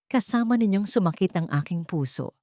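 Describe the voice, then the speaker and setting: A woman narrating a scripted dramatic story in a solemn, sentimental manner with deliberate phrasing. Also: medium pitch, expressive, darkish tone, no echo, studio quality. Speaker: storyteller, host of an audio story channel.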